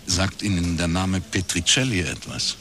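An actor playing a wry, laconic private detective with a husky voice, in radio crime drama narration and dialogue. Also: male voice, low-pitched, moderate pace, background noise, lightly acted. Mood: probing. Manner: dry, deadpan, understated. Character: wry, laconic male East Berlin private detective, ex-academic.

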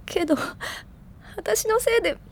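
High, slow, feverish schoolgirl. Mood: weak, apologetic. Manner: halting, faltering. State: feverish and weak. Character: feverish, stubborn, guilt-ridden teenage schoolgirl. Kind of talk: Japanese audio drama acting.

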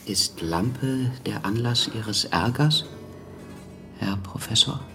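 An actor playing a patient, attentive caretaker who speaks in a calm, polite and reassuring way. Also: male voice, fairly low pitch, takes it slowly, soft background music, full character voice. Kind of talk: radio play acting.

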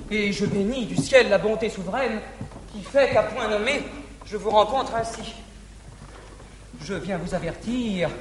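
An actor playing an ardent young male lover, declaiming classical verse on stage in an excited, rapid storytelling manner. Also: high-pitched, exaggerated voice. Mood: eager. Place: large theatre stage. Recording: echoey old theatre recording with faint hiss.

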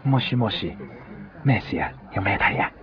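Actor as a deep-voiced, cocky Hong Kong hustler. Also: male voice, expressive, moderate pace, over a noisy crowd, natural voice. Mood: nosy, surprised. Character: cocky, streetwise hustler, loyal old friend of hers. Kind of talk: radio drama dialogue. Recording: dull 1990s radio recording, faint music.

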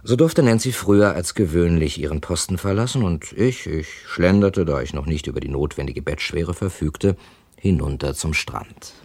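A man playing a reflective, earnest witness and narrator in a German radio crime play, with deliberate, literary phrasing. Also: deep voice, expressive, a little mellow, husky, dry recording, full character voice. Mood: reflective, uneasy. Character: reflective male hotel guest, narrator and witness. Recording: slightly hissy old radio recording.

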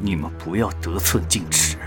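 A man's voice: deep voice, moderate pace, music in the background, lightly acted. A man playing a proud, roguish male demon, devoted and teasing, with dramatic swaggering delivery in an audio drama. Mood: alarmed. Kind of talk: Chinese audio drama acting.

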